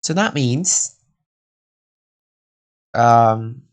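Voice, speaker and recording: A man's voice: mid-range voice, lively intonation, extremely slow. A man doing casual live gameplay commentary, rambling and thinking aloud. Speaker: game streamer.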